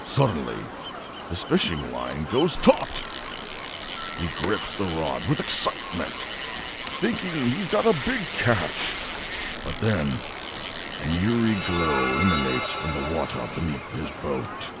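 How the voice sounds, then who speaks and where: A male narrator reads a dramatic scripted story. His fairly low voice moves slowly and swings extremely widely in pitch, with music clearly behind him. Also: very animated, low-quality recording.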